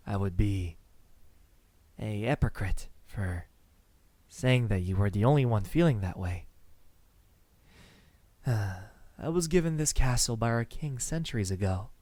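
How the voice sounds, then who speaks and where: Man voicing a slow, intimate gentleman vampire. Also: on the low side, dry recording. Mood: smitten, teasing, then reflective, grave. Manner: slow, intimate, softly spoken with sighs and pauses. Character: possessive, tender, gentlemanly centuries-old male vampire. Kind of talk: ASMR roleplay.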